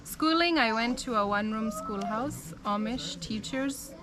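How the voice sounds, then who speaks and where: A young American woman telling her life story in a relaxed, chatty way, with frequent "um" and "like". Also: on the high side, measured pace, background noise. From United States. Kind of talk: casual storytelling.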